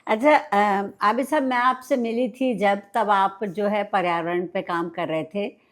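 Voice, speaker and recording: A woman's voice: medium pitch, measured pace. A woman from India hosting a podcast, interviewing her guest in a conversational style. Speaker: podcast host.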